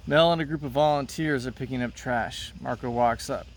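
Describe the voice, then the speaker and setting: A man's voice: medium pitch, moderate pace, recorded from a distance. A man speaking as a teacher, reading a children's play aloud to his students. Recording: noticeable background noise.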